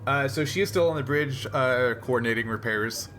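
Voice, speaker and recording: A man's voice: mid-range voice, normal speed, fairly bright tone, slight background noise. A man acting as game master for a tabletop sci-fi game, explaining things casually and a bit hesitantly.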